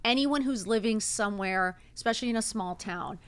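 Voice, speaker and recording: A woman's voice: mid-range voice, a little fast, clear, resonant tone, dry recording. Gushing woman podcast host in her fifties. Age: 50s. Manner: gushing, effusive. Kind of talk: casual chat.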